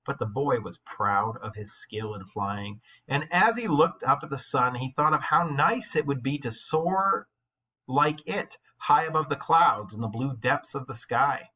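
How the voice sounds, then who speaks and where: A man's voice: mid-range voice, lively intonation, a little slow, sharp tone, slightly rough. A man reading a story aloud expressively as an English teacher, with dramatic, emphatic phrasing and explanatory asides. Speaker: high school English teacher.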